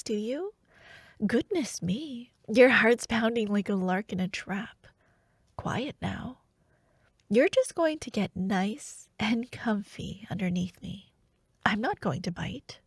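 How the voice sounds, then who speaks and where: A woman playing a scheming young royal princess in an intimate ASMR fantasy roleplay, speaking in a hushed, teasing, haughty way. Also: mid-range voice, breathy edge, no room sound, clean studio sound, exaggerated voice. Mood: teasing, commanding.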